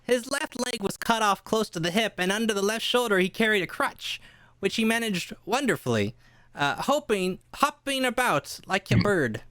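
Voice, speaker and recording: A woman playing an earnest young cabin boy who tells his adventure in a live radio play, reading a little haltingly. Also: mid-range voice, expressive, fairly bright tone, no echo, lightly acted. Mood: earnest, curious.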